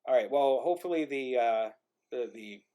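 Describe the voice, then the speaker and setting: A man with a mid-range voice hosts an informal live stream. He talks casually and haltingly, as if working things out aloud while he speaks. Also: medium pitch, animated, dark tone. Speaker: host of an online live-streamed play reading. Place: remote group video stream.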